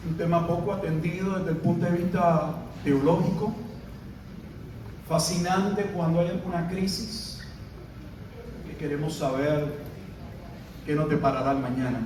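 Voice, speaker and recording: Middle-aged Puerto Rican man, pastor, measured teaching style, faint ambience behind him. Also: fairly high pitch, noisy background. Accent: Puerto Rican Spanish. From Puerto Rico. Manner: measured teaching delivery with pauses and fillers. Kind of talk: conference sermon lecture. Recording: echoey room, mic at a distance.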